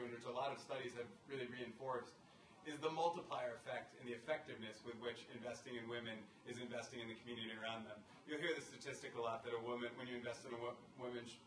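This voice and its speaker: A man in his thirties speaking as a panelist, talking in a halting way with many fillers into a table microphone in a college conference room. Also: medium pitch, a bit bright, slight background noise. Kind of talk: conversational panel remarks. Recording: echoey room, picked up from a distance.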